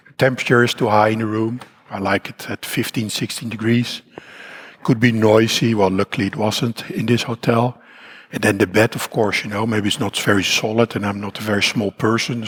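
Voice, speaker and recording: A man from the Netherlands with a Dutch accent and a croaky voice, speaking at a conference in a rambling, self-deprecating way with many fillers and restarts. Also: on the low side. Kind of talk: conference talk. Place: conference hall.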